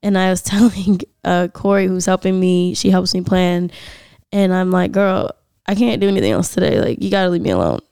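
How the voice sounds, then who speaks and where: Young woman hosting a podcast, chatty and laughy, bit of gravel in her voice, close dry sound. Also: on the low side, a little fast, a little mellow, no echo. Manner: chatty, laughing, swears freely. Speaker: podcast co-host. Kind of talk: casual podcast chat.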